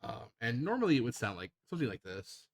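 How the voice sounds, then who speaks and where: A man hosting a casual software tutorial, talking in an improvised, rambling and hesitant way. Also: medium pitch, very animated, quick pace, vocal fry, slightly rough, dry recording. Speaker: tutorial host.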